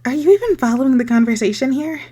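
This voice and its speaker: A woman playing an unstable, lovesick young circus girl turned kidnapper in an intimate ASMR roleplay, speaking haltingly in a breathy voice that slips into whispers. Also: high voice, animated, mellow. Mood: desperate, pleading. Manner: intimate, halting, stammering, swinging from sweet to desperate. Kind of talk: scripted ASMR roleplay monologue.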